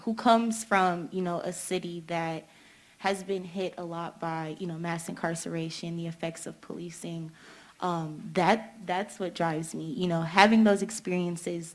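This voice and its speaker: A young woman speaking earnestly on a panel, with many fillers and "you know". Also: on the low side. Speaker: student panelist. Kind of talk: panel discussion.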